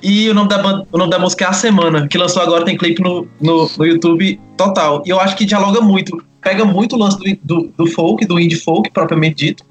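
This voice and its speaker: A man's voice: high-pitched, fairly even intonation, brisk, hardly any pauses. A man chats casually about music as a podcast panelist, talkative and full of opinions.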